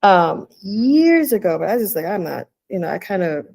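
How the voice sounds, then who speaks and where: A woman's voice: medium pitch, big pitch swings, moderate pace, vocal fry, a little mellow, breathy edge. A woman guest on a podcast, talking openly and reflectively in a casual chat. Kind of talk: casual conversation.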